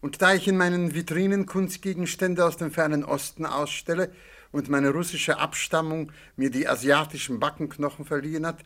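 An actor playing a worldly, courteous older antique dealer in a German radio play, telling things in a measured, genial way. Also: male voice, on the high side, fairly even intonation, fairly bright tone, natural voice. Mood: genial, musing.

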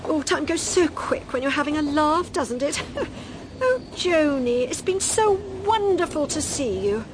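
A woman's voice: sits high, animated, unhurried, background noise. An actress playing a brash, gossipy, much-married older Englishwoman in a radio drama, gushing and teasing with theatrical gasps and giggles, shameless and merry.

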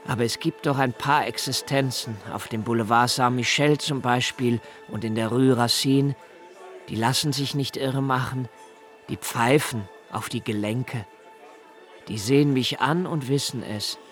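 An actor playing the insistent, ironic inner voice of a troubled young writer, emphatic and rhetorical, in a radio play. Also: male voice, medium pitch, a little slow, fairly bright tone, close to an everyday voice. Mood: wary, knowing. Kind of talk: literary radio play monologue. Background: music.

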